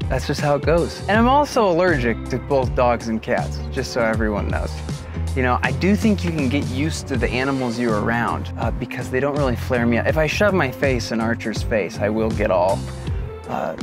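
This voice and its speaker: A man in his thirties casually talking to camera from inside his camper van, relaxed and jokey. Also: medium pitch. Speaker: vlogger. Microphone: camera at close range.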